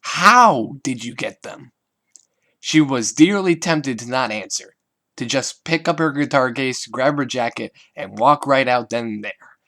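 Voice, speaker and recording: A man's voice: mid-range voice, normal speed, a bit bright. A man narrating a scripted story as an amateur fan fiction reader.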